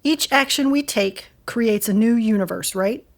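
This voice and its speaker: A woman acts out a guarded, hurt but loyal woman with a fairly high voice. She is pointed and challenging, speaking in the natural style of audio drama. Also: measured pace. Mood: wary, resolute.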